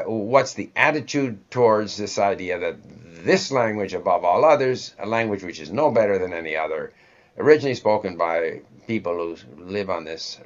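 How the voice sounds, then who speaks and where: A man with a Canadian accent hosting a conversational interview, thinking aloud in a discursive way. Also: sits low. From born in Sweden, raised in Canada. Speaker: host interviewing a guest. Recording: dull, lo-fi audio.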